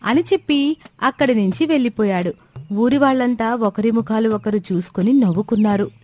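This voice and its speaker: A woman's voice: fairly high pitch, normal speed, warm tone, no echo. A woman reading a scripted moral story as its narrator. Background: music.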